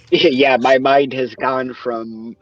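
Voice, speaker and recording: A man playing a roleplay character, hesitant and filling pauses with many uh sounds. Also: medium pitch, flat delivery, dull audio, a crowd in the background. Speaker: roleplay player.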